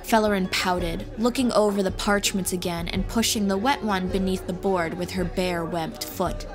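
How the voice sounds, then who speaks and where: A woman's voice: mid-range voice, fairly even intonation, moderate pace. A woman narrating a scripted fantasy audiobook as a storyteller. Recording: music playing behind.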